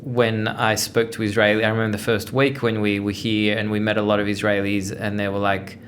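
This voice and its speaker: A man's voice: on the low side, monotone, fairly quick, a bit bright, a little hiss or hum. A middle-aged man with an Australian accent, telling his story as a podcast guest in a chatty, self-deprecating way with frequent restarts. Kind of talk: casual interview storytelling.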